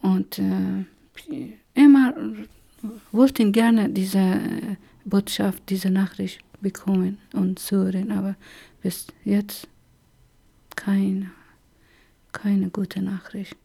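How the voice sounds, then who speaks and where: Afghan-accented woman, middle-aged, halting and hesitant, dark mellow tone, flat pitch. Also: vocal fry. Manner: halting, many hesitations, slow and searching for words. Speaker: interviewee. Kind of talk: personal testimony.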